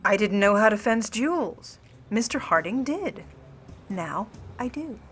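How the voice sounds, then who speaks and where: A woman's voice: medium pitch, big pitch swings, measured pace, husky, soft background music. A woman as a cool, haughty scheming starlet. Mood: smugly composed. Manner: haughty, controlled, cutting formal delivery. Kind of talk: old-time noir radio play acting.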